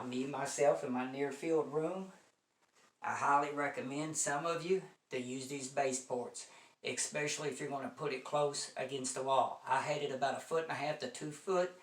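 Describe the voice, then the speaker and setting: An American man giving a casual, folksy product review to viewers, repeating points for emphasis. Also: mid-range voice. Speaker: home audio equipment reviewer. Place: indoor room. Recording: echoey room, distant microphone.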